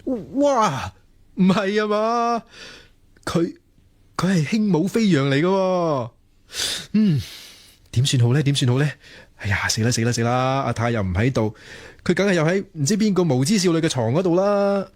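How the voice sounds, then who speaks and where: A man's voice: fairly high pitch, lively intonation, moderate pace, dry recording, natural voice. A man acting a lonely, self-mocking young research student who mutters theatrically to himself in a radio drama. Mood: flustered. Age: young adult. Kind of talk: Cantonese radio drama monologue.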